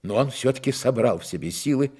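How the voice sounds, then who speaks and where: An actor narrating a Soviet radio drama as an earnest literary storyteller. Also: male voice, mid-range voice, animated, a little fast, dark tone, husky. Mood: earnest, dramatic. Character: earnest storyteller of a young man's trials.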